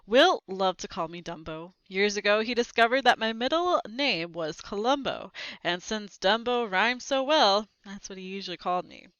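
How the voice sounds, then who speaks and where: A woman reading a children's book aloud, expressive and acting out the characters' lines with short asides. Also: medium pitch, moderate pace, clean tone, no room sound, muffled recording.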